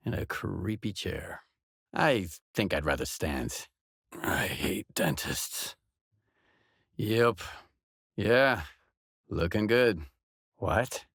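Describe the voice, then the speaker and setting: An actor playing a gruff, cynical and weary stalker in a video game, terse and sardonic in manner, with a husky voice. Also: male voice, fairly low pitch, animated, takes it slowly, long pauses, fairly bright tone, no echo, clean studio sound, exaggerated voice. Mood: wary, dry. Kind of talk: video game voice lines, dramatic acting.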